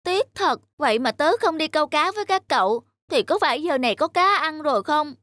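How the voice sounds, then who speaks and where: A woman voicing a childlike, expressive young rabbit, sleepy, lazy and earnest, for a children's cartoon. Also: high voice, brisk, a little hiss or hum. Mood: regretful. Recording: background music under the voice.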